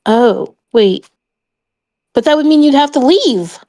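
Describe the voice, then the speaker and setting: A woman's voice: sits high, animated, slow pace, dark tone, dry recording, studio quality. A woman playing a tabletop game, narrating and chatting casually in an informal, giggly way. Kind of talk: casual roleplay narration and chat.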